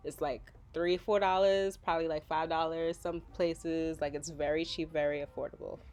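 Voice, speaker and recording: A woman from Trinidad and Tobago, talking through a makeup tutorial as a relaxed, chatty voice-over. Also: low-pitched, normal speed. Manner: chatty, relaxed, with frequent fillers. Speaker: makeup vlogger.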